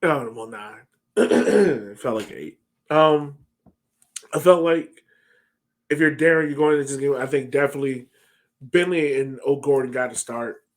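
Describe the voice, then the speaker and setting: A man's voice: mid-range voice, measured pace, pauses often. American guy hosting a sports podcast, casual talk over a headset on a video call. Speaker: podcast host. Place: home room, on a video call.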